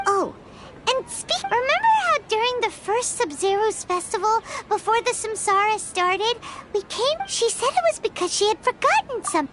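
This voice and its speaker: A woman voicing a tiny, excitable, childlike fairy companion in a video game, chatty and bubbly with a squeaky voice. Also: noisy background, exaggerated voice. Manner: bubbly, refers to self in third person. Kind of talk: English video game voice acting. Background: game music.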